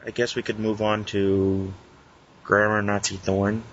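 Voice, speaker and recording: Low-pitched man on a lo-fi podcast. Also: little pitch movement, unhurried, a little hiss or hum. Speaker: podcast co-host. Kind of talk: casual group podcast chat. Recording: muffled lo-fi internet podcast audio.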